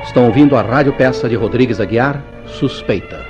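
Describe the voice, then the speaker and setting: A man's voice: medium pitch, a little fast. A man announcing a radio play in a formal, old-fashioned broadcast style. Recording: dull, band-limited old radio sound. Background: music.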